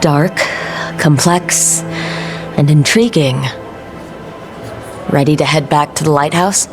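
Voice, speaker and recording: An actor playing a composed, warm and playful adventurer hero in a video game cutscene. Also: mid-range voice, takes it slowly, over music, lightly acted. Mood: supportive.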